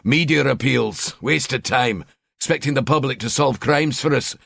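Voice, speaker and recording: A man doing a gruff cynical Scottish cop. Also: medium pitch, normal speed, a bit bright, dry recording, clean studio sound. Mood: grumbling. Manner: grumbling, cynical. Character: gruff bent middle-aged Scottish detective.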